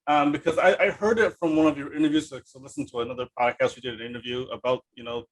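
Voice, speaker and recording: A man hosting a podcast in casual conversation, talking in a halting, rambling, self-deprecating way. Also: mid-range voice, steady tone, low-quality recording. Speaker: podcast host. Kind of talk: casual interview chat.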